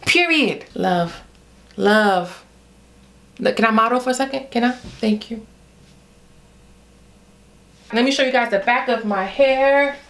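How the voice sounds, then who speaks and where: A woman's voice: on the high side, takes it slowly, pauses often, a bit bright. A young adult Haitian woman with a Haitian accent, chatting playfully and directly to her viewers in a casual hair vlog. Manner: chatty, playful, talks straight to viewers with y'all. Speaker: beauty vlogger.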